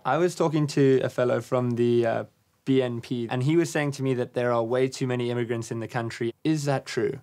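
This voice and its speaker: A young man with a South African accent, deadpan and awkward as he asks mock-innocent interview questions. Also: medium pitch, brisk. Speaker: comedy host interviewer.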